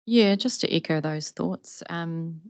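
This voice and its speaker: A woman with a New Zealand accent, a committee member giving appreciative remarks at a meeting, halting with frequent ums. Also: on the low side, darkish tone, no echo.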